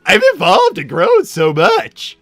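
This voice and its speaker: A man voicing a cocky, dramatic young male hawk, excitable and boastful, in improvised cartoon roleplay. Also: sits high, slow, vocal fry, dry recording, faint music behind, full character voice. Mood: sheepish, excitable.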